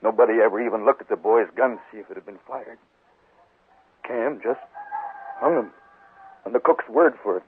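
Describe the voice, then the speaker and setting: An actor playing an uneasy, remorseful American townsman in a 1950s radio western, speaking in a halting, earnest way. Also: lowish voice, natural voice. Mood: guilty, troubled. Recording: 1950s radio broadcast, narrow sound, faint noise.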